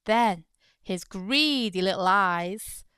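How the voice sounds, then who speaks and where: A woman hosting a children's storytime, reading a picture book aloud in an expressive, playful way. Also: mid-range voice, slow pace, smooth, dry recording, clean studio sound. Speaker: children's storytime host and narrator. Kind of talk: animated read-aloud narration of a picture book.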